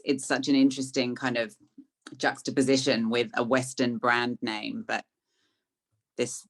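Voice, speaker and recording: An interviewer asking conversational, wordy questions with effusive, hesitant phrasing. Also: mid-range voice.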